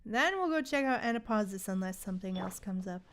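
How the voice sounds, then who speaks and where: A woman's voice: medium pitch, expressive, fairly quick, a bit bright. A woman chatting casually as she streams a game, with a playful, exclaiming way of talking to viewers. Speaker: livestream host. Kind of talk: casual gameplay livestream chat.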